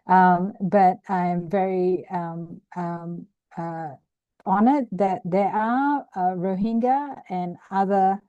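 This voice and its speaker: A woman's voice: on the low side, mellow, no room sound. Hesitant older woman from Malaysia, dry. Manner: hesitant, many ums and restarts. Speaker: webinar speaker, activist. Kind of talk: casual presentation over video call. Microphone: video call.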